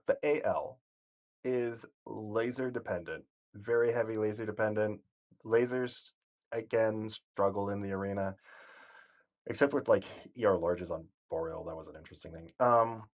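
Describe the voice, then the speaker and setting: A man talks through a game stream in a calm, soothing voice that drifts and corrects itself as he goes. His voice sits low, and the stream audio is dull and lo-fi. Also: measured pace, pauses often. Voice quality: soothing, sleep-inducing voice. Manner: calm, rambling, self-correcting. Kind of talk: casual gameplay commentary.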